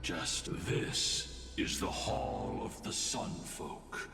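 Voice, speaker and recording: Game casting: proud old-world warrior, solemn, slow and gravelly, in a reverberant hall over music. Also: male voice, deep voice, lively intonation, far from the mic, heavily acted. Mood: grave, reflective. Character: ancient proud battle-hardened male warrior guide. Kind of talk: dramatic video game voice acting.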